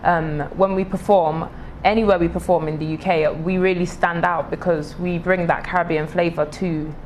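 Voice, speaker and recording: A woman's voice: lowish voice, fairly even intonation, normal speed, a little mellow, slight background noise. A young woman, a soca singer speaking earnestly in an interview. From London, England. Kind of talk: casual interview answers.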